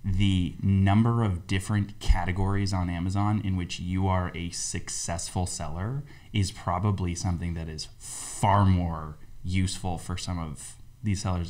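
A man's voice: low voice, fairly even intonation, moderate pace, hardly any pauses, darkish tone. A man in his thirties hosting a casual podcast, loose and friendly with frequent ums, recorded on a desk mic in a small studio. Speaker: podcast host. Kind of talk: casual interview chat. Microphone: desk mic on boom arm.

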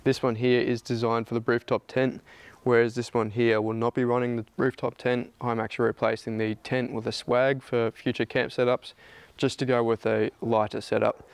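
A man's voice: mid-range voice, moderate pace, gravelly, clean tone. A man, chatting casually to camera about his car roof rack setup. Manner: relaxed, chatty, plain-spoken. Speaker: off-road vlogger. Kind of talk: casual product talk to camera. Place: outdoors in a backyard, then a plain indoor room.

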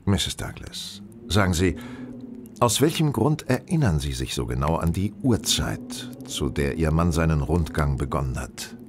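An actor playing a shrewd gentleman detective in a radio play, questioning politely, precisely and insistently. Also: a bass voice, very animated, measured pace, background noise, heavily acted.

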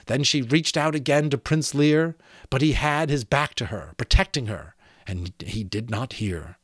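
A man in his thirties reads a fantasy novel aloud as an expressive, dramatic audiobook narrator, close to a desk microphone in a small room. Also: mid-range voice, a little slow, breathy edge, dry recording. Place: small home room with sloped ceiling, indoors.

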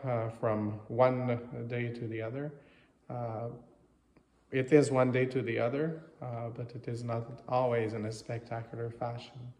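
French-Canadian priest in an echoey chapel. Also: male voice, medium pitch, darkish tone, a little hiss or hum. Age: 30s to 40s. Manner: halting, reflective, with many pauses. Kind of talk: informal homily. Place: small chapel, indoors. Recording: echoey, reverberant chapel sound.

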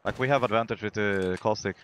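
A man on an esports team giving short, clipped callouts and quick replies over voice chat. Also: on the low side, noisy background. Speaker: esports player, teammate.

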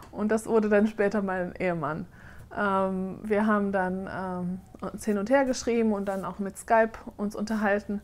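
A woman in her thirties from Germany, chatting casually on camera in a question-and-answer vlog and often pausing with fillers as she searches for words. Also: medium pitch. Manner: chatty, hesitant with frequent fillers. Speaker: doll-making vlogger. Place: living room, seated on a sofa.

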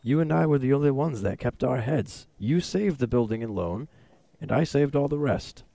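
Gravelly, domineering old tycoon. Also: male voice, medium pitch, expressive, warm tone, no echo. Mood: calculating, smug. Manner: deliberate, smooth-talking, condescending. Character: cunning, domineering rich old businessman, bluntly self-assured. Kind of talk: radio drama acting.

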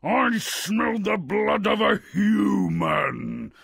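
A man voicing a huge, menacing man-eating giant for a video game, booming out threats in a gravelly, raspy voice. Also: big pitch swings, extremely slow, a bit bright, no room sound, clean studio sound, exaggerated voice. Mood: menacing, bellowing. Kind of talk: video game character acting.

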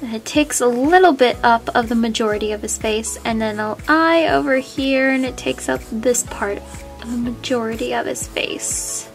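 A woman's voice: fairly high pitch, moderate pace, faint music behind. A woman hosting a step-by-step drawing tutorial, friendly and easygoing, a little sniffly from a cold. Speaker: art tutorial host.

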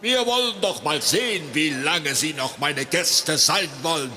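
A man's voice: fairly high pitch, unhurried, bright, forceful tone. A man playing a pompous, scheming, commanding king in a children's fairy-tale radio play.

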